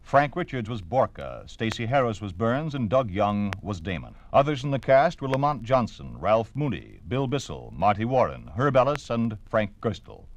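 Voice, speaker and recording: A man's voice: fairly low pitch, very animated, moderate pace, few pauses. An American man announcing in a scripted 1950s radio style, solemn and declamatory. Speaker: announcer, narrator. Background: music.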